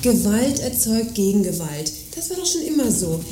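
A woman's voice: mid-range voice, sing-song, normal speed, mellow, faint music behind, lightly acted. An actress playing a smug, self-assured, dismissive woman who lectures in a satirical radio drama. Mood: smug lecturing. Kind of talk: audio drama dialogue, political satire. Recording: roomy, clearly noisy background.

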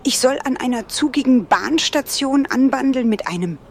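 A woman's voice: sits high, animated, normal speed, creaky, slight background noise. An actress playing a sardonic, prickly woman traveller in an audio drama, sarcastic and dismissive in manner. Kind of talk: German audio drama dialogue.

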